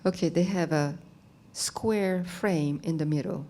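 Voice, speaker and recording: Halting, soft-voiced woman artist. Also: on the low side, slight background noise. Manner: halting, plain non-native English with many "you know" fillers. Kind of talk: gallery talk about her art. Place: gallery, speaking to an audience.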